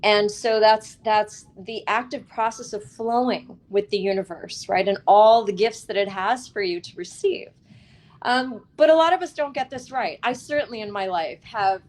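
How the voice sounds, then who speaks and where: Woman, medium pitch, interviewed author, earnest, reflective, deliberate emphasis, pauses between phrases.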